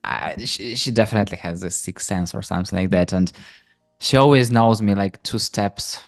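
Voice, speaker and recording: A man's voice: fairly low pitch, moderate pace, creaky, no echo, clean studio sound. A man hosting a podcast interview, asking curious follow-up questions. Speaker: podcast host.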